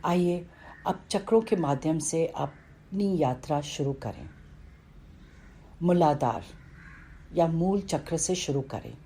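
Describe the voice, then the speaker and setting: A woman reading a scripted guided meditation in a calm, instructional way, with pauses between phrases. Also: deep voice, slightly rough.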